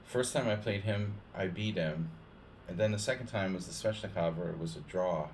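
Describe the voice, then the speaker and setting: Low-voiced rambling male chess streamer. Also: moderate pace, slight background noise. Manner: rambling, lots of "like" and restarts; loose, joking asides. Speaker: chess streamer playing viewers. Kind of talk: casual live-stream chat over games.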